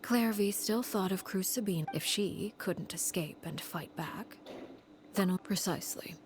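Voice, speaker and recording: An actress playing a composed, solemn woman in a video game, recounting grim history in a measured, detached, formal manner. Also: mid-range voice, background noise, lightly acted. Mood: solemn, restrained. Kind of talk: scripted game dialogue, storytelling.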